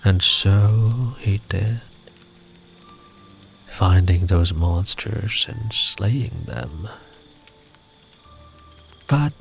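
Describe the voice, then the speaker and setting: Guy doing slow gentle asmr storytelling, soft n breathy, music behind him. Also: medium pitch. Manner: soft-spoken, often breathy near-whisper; soothing, unhurried, tender. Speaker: ASMR voice performer telling a bedtime story.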